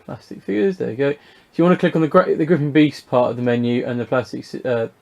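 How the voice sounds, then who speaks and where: British male hobby reviewer, mid-pitched, informal and unscripted, hesitant, thinking aloud as he demonstrates. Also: fast, vocal fry, a little mellow. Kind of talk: casual unscripted product review, talking through a demonstration.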